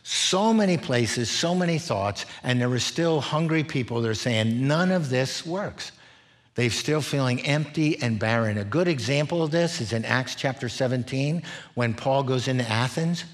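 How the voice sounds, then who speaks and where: Male preacher, middle-aged, mid-pitched voice, explanatory teaching delivery with frequent check-in questions. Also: animated, normal speed. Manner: explanatory, often checks in with "right?" and "okay?". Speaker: preacher, former missionary. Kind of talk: expository sermon, teaching in numbered points.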